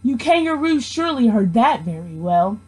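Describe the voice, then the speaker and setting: A woman's voice: fairly high pitch, very animated, moderate pace, darkish tone, slightly rough, poor audio. A young woman reading a rhyming children's story aloud expressively. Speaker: storybook reader, education student.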